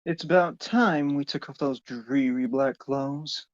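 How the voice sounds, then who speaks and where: A man's voice: medium pitch, unhurried, dull audio, close to an everyday voice. A man playing a stern, middle-aged businessman father, curt and commanding, in a dramatic story reading. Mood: cold, businesslike.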